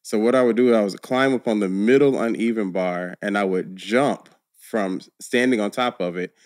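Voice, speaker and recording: Man, laughing storyteller, fairly low voice, close dry mic. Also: moderate pace, no echo. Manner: animated storyteller, laughs often. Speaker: guest, actor and performer. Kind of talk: casual interview chat. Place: podcast studio. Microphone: studio mic on boom arm, headphones.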